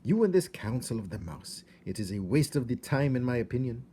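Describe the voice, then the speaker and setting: A man's voice: mid-range voice, big pitch swings, a little fast, mellow, slight background noise, pitched above the performer's own voice, close to an everyday voice. A man voicing a vain, dismissive mother mouse from a storybook, with a French accent and haughty manner. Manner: haughty, dismissive, oddly phrased English. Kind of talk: storybook character voice.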